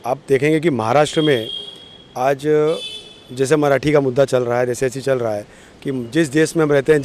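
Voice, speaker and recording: A man's voice: medium pitch, a little mellow, a little hiss or hum. A man from Maharashtra, India, giving earnest, effusive interview remarks as a party politician, often repeating phrases for emphasis. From born in Maharashtra, India. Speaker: political party office-bearer.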